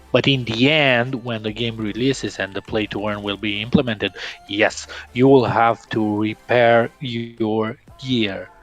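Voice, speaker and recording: A man's voice: medium pitch, fairly bright tone. A man from Romania, casually answering viewer questions on a game livestream. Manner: casual, laughs often, some fillers.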